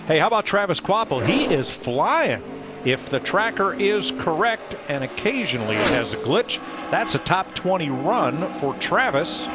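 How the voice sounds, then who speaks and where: Male play-by-play caller, fairly high voice, brisk and upbeat, stats-heavy delivery. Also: big pitch swings, over loud music. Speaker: play-by-play announcer. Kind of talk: live race commentary.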